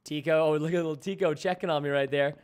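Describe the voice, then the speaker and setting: A man's voice: a little high, steady tone, fast, smooth. Playfully dramatic man streaming a game. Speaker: gaming streamer host. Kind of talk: live gameplay commentary with friends.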